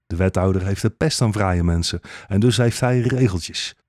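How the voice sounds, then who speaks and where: A man's voice: fairly low pitch, normal speed, darkish tone, no echo, clean studio sound. A man narrating a satirical fairy-tale radio play as a storyteller.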